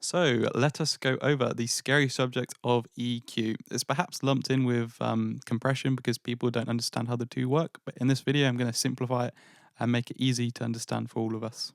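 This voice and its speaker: A man's voice: medium pitch, level tone, a little fast, gravelly, no room sound. A man explaining guitar gear in a casual voice-over, with a slightly nasal, thin sound and dry joking asides. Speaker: guitar pedal reviewer.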